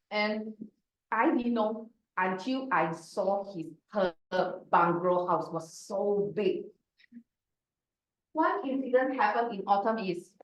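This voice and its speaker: A woman from Malaysia with a Malaysian Chinese accent, telling a personal story in a prepared club speech. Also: mid-range voice. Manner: slow, deliberate storytelling with acted quotes. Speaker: club speaker. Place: meeting room. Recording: distant mic in echoey room.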